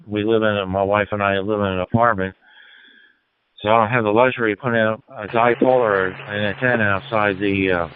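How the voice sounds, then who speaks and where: Low, clear-voiced man over tinny radio. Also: no echo. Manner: halting, polite, spelling out call signs phonetically. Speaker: amateur radio operator. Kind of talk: casual ham radio exchange with call signs. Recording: tinny narrowband digital radio transmission.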